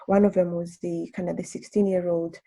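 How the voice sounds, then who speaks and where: A woman with a mellow, husky voice talks through serious points in a radio discussion. She is halting and thoughtful, circling back over words, with a fairly flat melody. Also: lowish voice. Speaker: radio discussion speaker introducing a topic. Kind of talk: reflective spoken radio discussion.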